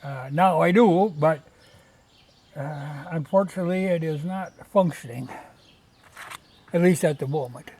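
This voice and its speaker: Folksy rambling man, slightly high voice. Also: measured pace, long pauses, a little mellow, a little hiss or hum. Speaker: vlogger showing old radios from a junk collection. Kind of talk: casual unscripted show-and-tell.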